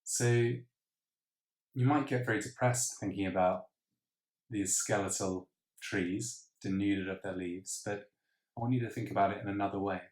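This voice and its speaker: Deep, slow-speaking Englishman in a roomy space. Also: fairly even intonation, a little mellow. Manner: measured, reflective, with pauses. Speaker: meditation teacher. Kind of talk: guided meditation. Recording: roomy, echoing sound.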